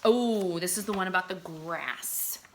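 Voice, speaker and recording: A woman teaching through a read-aloud, with expressive delivery, explanatory asides and check-in questions. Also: lowish voice, unhurried, background noise. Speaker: teacher reading poems aloud to children.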